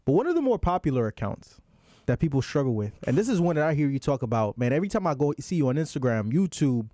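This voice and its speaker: A man hosts a relaxed chat with a mellow, dark voice. He talks in an easygoing way and sometimes reads text aloud. The sound is dry and close. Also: a little high, fast, no room sound. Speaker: podcast host. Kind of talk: casual chat.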